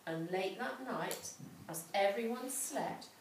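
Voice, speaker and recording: A middle-aged woman reading a story aloud to a child, dramatically, with pauses and questions. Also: mid-range voice, animated. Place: large indoor school room with hard floor. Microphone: distant camera microphone. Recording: echoey room, distant mic, background noise.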